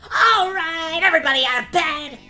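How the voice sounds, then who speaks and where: A woman's voice: very high voice, lively intonation, moderate pace, bright, forceful tone, soft background music, exaggerated voice. A woman playing a bossy, sarcastic, scheming evil mother in a comedic fairy-tale radio play. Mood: shrill, angry. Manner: sarcastic, barking orders.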